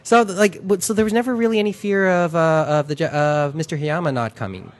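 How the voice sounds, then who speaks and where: A man's voice: on the high side, fast talker. A man interviewing a convention organizer in casual chat, hesitant with many restarts, his voice worn and fading. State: losing his voice.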